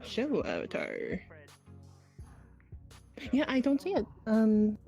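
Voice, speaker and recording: Flustered woman with a high, lively voice. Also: slow pace. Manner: flustered, apologetic, hesitant. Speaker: host of a virtual-reality roleplay livestream. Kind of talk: casual live gaming chat. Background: music.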